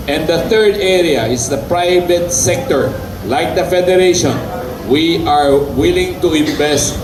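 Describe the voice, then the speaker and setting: Filipino-accented man, echoey and distant. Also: fairly high pitch. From Philippines. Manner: earnest, emphatic, repeating key phrases. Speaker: business federation leader speaking as a forum guest. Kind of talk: impromptu public speech mixing English and Tagalog. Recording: echoey room, distant mic, loud background noise.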